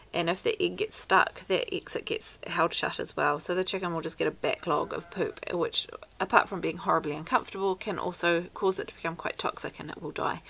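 A woman's voice: lowish voice, gravelly, fairly bright tone. A woman in her thirties with a New Zealand accent, chatting practically to camera outdoors by a wooden fence about homestead care. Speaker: homesteader vlogger. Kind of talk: casual how-to talk to camera. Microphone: camera at arm's length.

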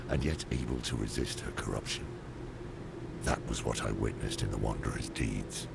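An actor playing a grim, weary old demon hunter in a dramatic fantasy game, speaking gravely and bluntly. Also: male voice, heavy background noise, full character voice. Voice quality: very gravelly, rumbling. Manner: grave, deliberate, sparing with words. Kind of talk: dramatic game dialogue and narration.

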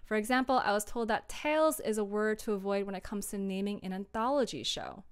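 A woman's voice: medium pitch, animated, a little fast, clear, resonant tone. A young adult woman chatting casually and a bit ramblingly as she hosts a livestream from a room at home. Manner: chatty, rambling, giggly, apologetic. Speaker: livestream host, audio drama creator. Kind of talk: casual livestream chat with viewers. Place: small room indoors at home.

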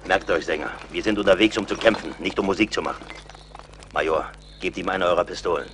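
An actor playing a fierce, bold frontier fighter in an adventure radio play. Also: male voice, low voice, fast talker, background noise, close to an everyday voice. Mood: commanding. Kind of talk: dramatic German radio play acting.

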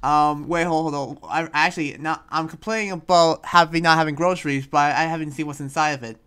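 A man's voice: fairly high pitch, fairly even intonation, a little fast, pushed and bright, clear, resonant tone. A man joking casually while gaming, in a playful, rambling way.

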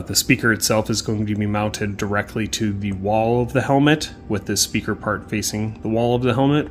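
A man giving a casual hands-on explanation in a halting, thinking-aloud way. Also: lowish voice. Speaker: hobbyist tutorial maker.

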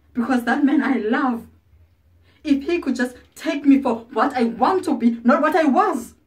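An actress playing a proud, bitter ageing former prostitute, wounded and defiant, in a confrontational stage monologue with pointed pauses. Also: female voice, a little high, lightly acted. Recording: distant microphone.

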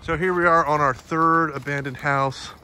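A man narrating a hiking vlog in a casual, matter-of-fact, halting way as he walks. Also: fairly high pitch, fairly even intonation, bright, forceful tone. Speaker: hiking vlogger. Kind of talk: casual walk-along narration. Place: outdoors in woods by a river. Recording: outdoor phone video with ambient noise.

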